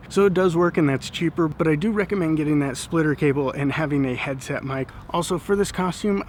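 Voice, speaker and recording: A man's voice: a little high, slight background noise. A man giving a casual how-to demonstration as a costume vlogger.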